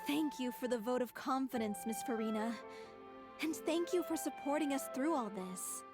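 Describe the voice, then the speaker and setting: A woman voicing a gentle, resolute young female performer in a video game, speaking sincerely and politely. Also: sits high, moderate pace, over music, lightly acted. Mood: sincere, earnest.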